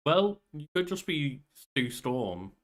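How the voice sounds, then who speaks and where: A man's voice: a little high, sing-song, slow pace, a bit bright. A man, around his thirties, musing and rambling casually as a podcast co-host. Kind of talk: casual banter.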